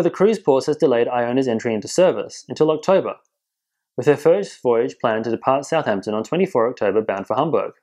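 A man presenting cruise industry news in measured, scripted voice-over narration. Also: medium pitch, darkish tone. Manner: measured, informative delivery. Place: voice-over, not in pictured places.

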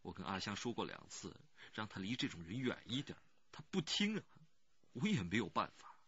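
A worried country man in a radio cop drama, low forceful voice, kinda defensive, dull audio. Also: big pitch swings, a little fast, breathy edge, full character voice. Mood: defensive, earnest. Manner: earnest, defensive, hesitant. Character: anxious rural working man, murdered girl's cousin, pleading earnestly. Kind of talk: radio crime drama acting.

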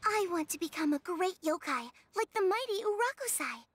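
Squeaky, eager little spirit girl. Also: moderate pace, slight background noise. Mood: eager, determined. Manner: squeaky, excitable. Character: tiny, eager, childlike female fairy or spirit. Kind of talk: scripted video game voice acting.

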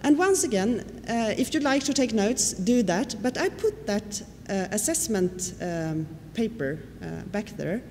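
A woman's voice: mid-range voice, expressive, measured pace, few pauses, darkish tone. Woman lecturing in an echoey hall. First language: Swedish. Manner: explanatory, with frequent fillers and questions to the audience. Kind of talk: conference lecture. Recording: reverberant hall with faint noise.

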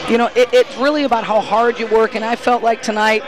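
A woman's voice: fairly high pitch, little pitch movement, fairly quick. A middle-aged woman basketball coach giving a postgame interview on a headset mic in an empty gym, talking emphatically and earnestly with frequent restarts. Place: empty college gym after a game.

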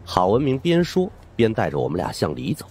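A deep-voiced man tells a suspense story in the first person. His delivery swings widely and dramatically, with music playing underneath. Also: wildly swooping pitch, brisk, darkish tone, breathy edge. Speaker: audiobook narrator.

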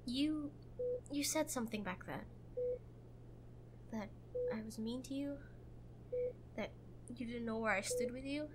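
A woman playing a protective, prickly young girlfriend in a roleplay audio drama, tender but bossy and halting when vulnerable. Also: fairly high pitch, slight background noise, lightly acted. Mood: vulnerable, earnest. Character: protective, prickly young woman, frightened for her injured lover.

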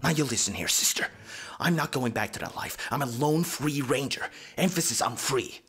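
A man voicing a brash, self-centred rooster in a cartoon fan dub, slangy and wisecracking, with a husky voice. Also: medium pitch, expressive, moderate pace, fairly bright tone, soft background music. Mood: indignant, stubborn. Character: brash cocky male rooster, self-centred smooth-talking loner.

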